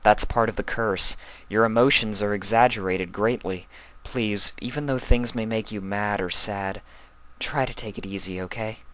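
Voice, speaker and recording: A man voicing a caring, anxious young female unicorn with a gentle, emotional, pleading manner. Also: on the low side, moderate pace, pitched above the performer's own voice, natural voice. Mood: soothing. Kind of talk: acted fan fiction reading.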